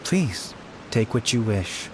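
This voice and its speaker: An actor playing an unhurried, wry, devout shrine keeper in a video game, with measured, formal phrasing. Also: male voice, lowish voice, sing-song, noisy background. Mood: calm, gracious. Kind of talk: video game character dialogue. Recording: music behind the voice.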